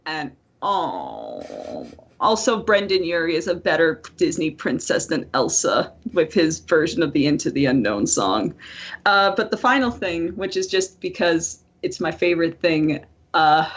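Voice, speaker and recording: A woman co-hosting a casual podcast, talking in an animated, playful way and swearing freely. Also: low-pitched, unhurried. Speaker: podcast co-host. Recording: dull lo-fi voice-call audio.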